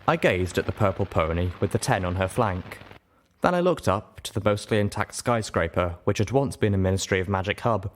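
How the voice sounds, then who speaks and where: A man with a fairly low voice narrates a story. He reads scripted prose in the style of an audiobook. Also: expressive, a little fast, slight background noise.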